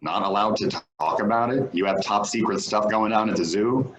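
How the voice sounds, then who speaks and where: A man's voice: lowish voice, normal speed, a bit bright, close to an everyday voice. An actor playing a wry, inquisitive man hosting friends in a staged play reading. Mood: curious.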